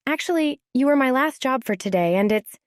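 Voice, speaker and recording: Woman doing a teen girl worker, kinda high voice, polite n earnest, audio drama, dry sound. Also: expressive, fairly quick, smooth, no echo. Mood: friendly, amused. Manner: earnest, placating. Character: teenage girl, eager, polite young repair worker. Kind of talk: scripted audio story character.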